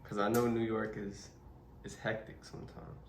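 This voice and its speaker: A man's voice: lowish voice, flat delivery, moderate pace, slight background noise. A man hosting an interview, asking questions and summing up the guest's answers back to him. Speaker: interviewer host. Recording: roomy, distant mic with faint ambience.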